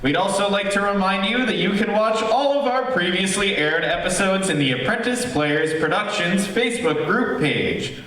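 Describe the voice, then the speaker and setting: A man's voice: sits high, expressive, normal speed, pushed and bright. A man hosting a live stage show, giving a scripted introduction in a formal, theatrical announcer manner, recorded in a theater. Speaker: host. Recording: echoey theater, distant mic, live stream.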